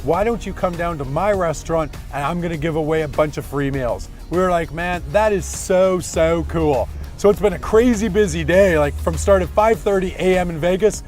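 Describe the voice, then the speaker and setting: A man hosting a vlog, talking energetically to camera and going hoarse. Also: fairly high pitch, normal speed, soft background music. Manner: energetic, motivational preaching. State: losing his voice. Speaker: vlog host. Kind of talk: casual vlog talk to camera.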